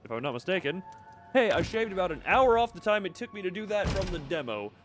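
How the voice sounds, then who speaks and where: A man's voice: high-pitched, sing-song, fast talker, music in the background. A man giving casual live game commentary, thinking aloud with playful, quirky turns of phrase. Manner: playful, quirky word choices. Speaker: video game streamer.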